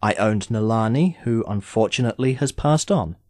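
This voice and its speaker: A man's voice: fairly low pitch, moderate pace, darkish tone, no room sound, close to an everyday voice. A man playing an urbane immortal sorcerer in an audio drama, speaking formally with dry, sardonic impatience. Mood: dry, matter-of-fact. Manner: wry, formal, theatrical phrasing.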